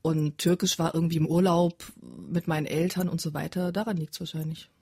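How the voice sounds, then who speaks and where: A woman guest in a radio studio, talking in a relaxed, matter-of-fact way and laughing easily. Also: deep voice, a little fast, slightly rough, no echo. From Germany. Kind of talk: casual studio conversation.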